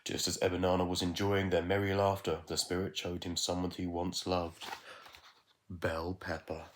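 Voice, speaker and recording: A man with a low voice reads a storybook aloud. He is measured and expressive, leaving dramatic pauses as he tells it. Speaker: teacher reading a bedtime story.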